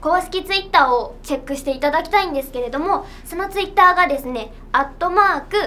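Fast-talking young woman with a high voice. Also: bright, forceful tone, slight background noise. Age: young adult. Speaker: voice actor guest. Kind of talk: casual promotional chat. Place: small indoor room.

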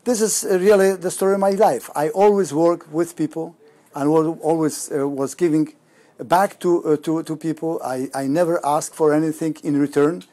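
A man in his fifties with a Polish accent, speaking haltingly to camera with many fillers and restarts in a campaign video recorded indoors. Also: fairly high pitch, fairly even intonation, warm tone. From Poland. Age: 50s. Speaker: parliamentary candidate. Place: indoor campaign office before a banner.

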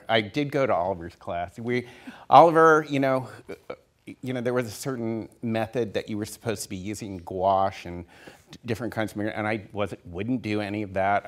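A man in his fifties with a lowish voice speaks on a theater stage panel. He rambles through his anecdotes, full of "uh" and "you know", holding a handheld mic. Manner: rambling, many fillers and restarts. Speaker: production designer on a panel. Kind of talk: casual storytelling on a panel. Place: theater stage before an audience.

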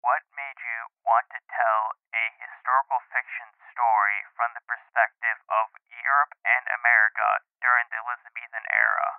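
A man's voice: mid-range voice, flat delivery, normal speed, few pauses, dry recording, narrow, tinny audio. Male podcast host, dry sound. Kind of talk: interview questions and scripted sponsor read.

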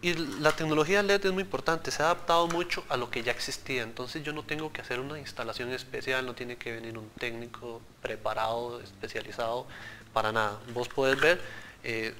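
A man's voice: mid-range voice, fairly quick, sharp tone, slight background noise. A man, a product engineer explaining things earnestly in a TV studio interview on a lapel mic. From Central America. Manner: explanatory, earnest, addresses host directly.

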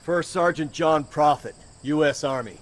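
An actor playing a tough, teasing army sergeant in an audio drama, terse and dry. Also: male voice, fairly high pitch, moderate pace, natural voice. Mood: terse, urgent.

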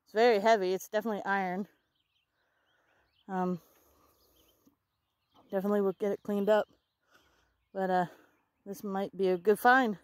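A woman in her thirties, an excited and chatty metal detecting vlogger, talking casually to camera outdoors in an open farm field. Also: medium pitch, normal speed, pauses often, dry recording. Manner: excited, chatty, self-correcting. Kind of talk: casual outdoor vlog narration. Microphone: handheld or body camera close up.

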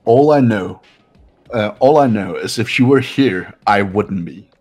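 A man playing a low-voiced, haughty young snob. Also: expressive, a little slow, darkish tone, husky. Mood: condescending. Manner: condescending, scornful. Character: haughty, cold, contemptuous rich young man. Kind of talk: amateur video game voice-over. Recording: background music throughout.

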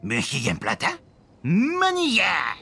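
A brutish goblin creature from a video game, jabbering excitably. The voice is gravelly and harsh, leaping wildly in pitch. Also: female voice, unhurried, a little hiss or hum, heavily acted. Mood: agitated. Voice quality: gravelly, growling.

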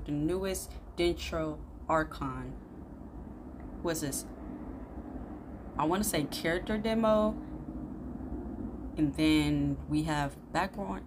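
Low-pitched young woman, noisy recording. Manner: blunt, slangy. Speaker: reaction video host. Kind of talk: casual reaction commentary. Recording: clearly noisy room recording.

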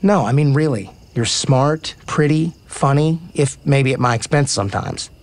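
An actor playing an easygoing, kind, joking baseball player in a naturalistic romantic comedy audio drama. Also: male voice, mid-range voice, normal speed, natural voice. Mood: warm, candid. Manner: casual, joking, frank.

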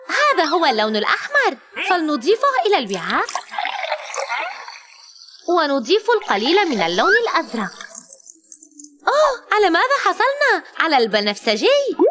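Woman, very high pitch, lively intonation, encouraging children's cartoon narrator, slight background noise. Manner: animated, encouraging, exclaiming. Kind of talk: scripted narration teaching young children.

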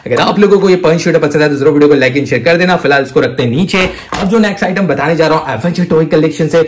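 An excitable man, presenting toys hands-on to viewers in an enthusiastic, repetitive way full of amazed exclamations. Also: on the high side, fast, a little hiss or hum. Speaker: toy review presenter. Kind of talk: enthusiastic hands-on toy showcase, addressing viewers as friends.

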